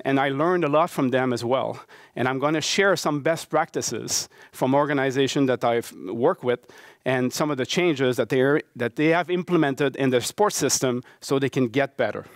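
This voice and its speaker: A man's voice: mid-range voice, clean studio sound. A middle-aged man from Quebec City with a French accent, giving a conference talk in a university lecture hall. He speaks earnestly, with many fillers and restarts. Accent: Québécois French. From Quebec City, Canada. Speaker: conference speaker, baseball coach and professor.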